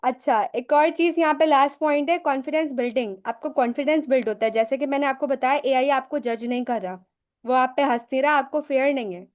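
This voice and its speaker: Woman, high voice, casual live-session teacher, chatty and self-answering. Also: fairly quick. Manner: chatty, explains with rhetorical questions and personal examples; mixes English phrases into her talk.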